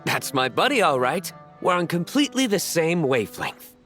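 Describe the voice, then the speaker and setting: A man acting an earnest, deadpan official who takes his hobby seriously, in a video game. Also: fairly high pitch, normal speed, faint music behind. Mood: matter-of-fact, pleased. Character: earnest deadpan male official, avid card game fan.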